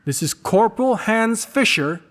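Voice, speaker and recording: A man voicing a resistance fighter who poses as an officious German corporal, calling out formally and loudly in an audio drama. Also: high voice, animated, a little slow, pitched above the performer's own voice. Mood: commanding, urgent. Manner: loud, formal, commanding.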